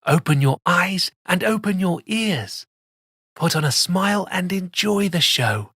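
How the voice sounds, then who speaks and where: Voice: mid-range voice, animated, measured pace, fairly bright tone, breathy edge, no echo. A children's story host presenting and reading a storybook expressively, in a playful way that speaks straight to young listeners.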